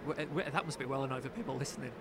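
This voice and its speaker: A man with a nasal voice co-hosting a podcast, talking quickly with stammering restarts. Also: medium pitch, noisy background. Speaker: podcast co-host. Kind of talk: casual football watchalong chat.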